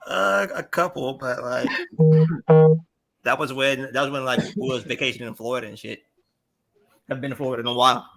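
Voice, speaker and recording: A man's voice: mid-range voice, brisk, creaky, pauses often, bright, forceful tone. A man in casual chat as a panelist, often doubling back on his words as he recalls things. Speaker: podcast panelist.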